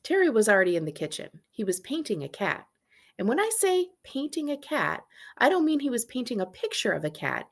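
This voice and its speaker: Casting: woman librarian, lively storybook read-aloud, swooping intonation, gravelly fry, informal asides. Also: fairly high pitch, fairly quick. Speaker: school librarian reading aloud. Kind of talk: animated children's book read-aloud with casual asides.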